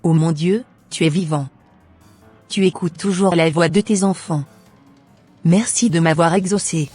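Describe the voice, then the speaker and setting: A woman playing a devout wife, husky, lowish. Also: unhurried, a little mellow, soft background music. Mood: grateful, reverent. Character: devout, troubled wife praying for her marriage.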